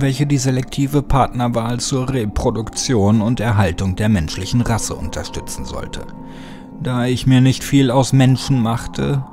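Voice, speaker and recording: Male horror narrator over music. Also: medium pitch. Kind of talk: scripted horror audiobook narration.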